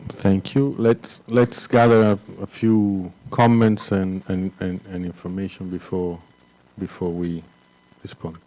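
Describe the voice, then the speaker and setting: A man chairs a panel and hands the floor to the audience, courteous and a little hesitant. His voice is low and dark. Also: flat delivery, takes it slowly, poor audio. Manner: hesitant and courteous, with many fillers. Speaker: session moderator.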